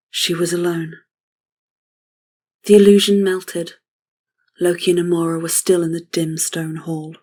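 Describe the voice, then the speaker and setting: A woman with a husky, breathy voice reading scripted dramatic story narration. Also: lowish voice, darkish tone.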